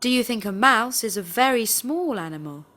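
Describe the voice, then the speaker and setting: Lively, higher-pitched woman asking drill questions. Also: moderate pace. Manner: careful, clearly phrased questioning. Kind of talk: scripted question drill, read aloud.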